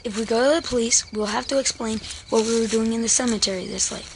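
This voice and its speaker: A woman's voice: a little high, steady tone, fast talker, creaky, background noise. A woman playing a sensible, cautious ten-year-old boy in an audio drama, casual and matter-of-fact. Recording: faint night crickets behind.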